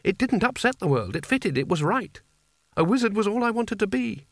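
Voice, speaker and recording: A man's voice: high-pitched, very animated, normal speed, no echo, clean studio sound, voice pushed up. A man voicing a meek, nervous wizard in an audiobook, with a hesitant, faltering delivery. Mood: wistful. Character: timid, anxious male wizard, nervous and meek. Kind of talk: audiobook character voice.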